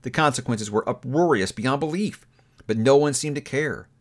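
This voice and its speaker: A man narrating a classic story aloud in an expressive audiobook reading style. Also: medium pitch, normal speed. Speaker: book reviewer reading aloud.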